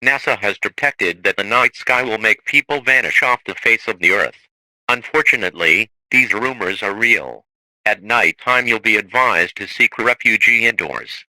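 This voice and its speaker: A man's voice: on the low side, moderate pace, sharp tone, no room sound, low-quality recording. A man reading a scripted emergency alert announcement in a formal, official manner.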